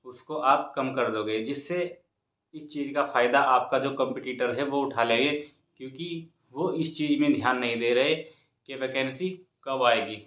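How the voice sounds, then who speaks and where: A man's voice: medium pitch, normal speed, fairly bright tone. A man giving students an informal exam update in a repetitive, encouraging manner. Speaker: exam coaching YouTuber and host. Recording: echoey room, dull muffled sound.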